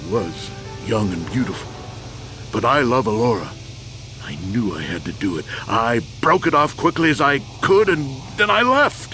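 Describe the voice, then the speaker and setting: An actor playing a proud, weary old war veteran accused of a crime, earnest and deliberate, in a video game. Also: male voice, mid-range voice, natural voice, poor audio. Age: older.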